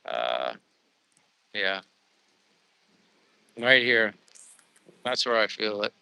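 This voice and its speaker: Pennsylvania man, livestream host, very bright, smooth, dry close sound, rambling and upbeat. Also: on the low side, very animated, extremely slow, no echo. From United States. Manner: rambling, upbeat, thanking viewers. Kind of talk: casual livestream chat reading viewer comments. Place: living abroad.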